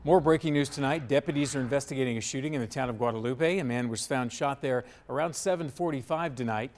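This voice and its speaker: A man's voice: medium pitch, fast. A man with an American accent reading scripted local news as a television anchor in a studio.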